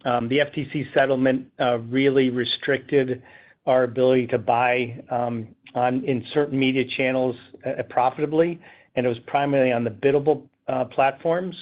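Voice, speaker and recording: A man speaking as a company executive, giving unscripted, halting explanations on a business call. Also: mid-range voice, steady tone. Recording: slightly dull conference call audio.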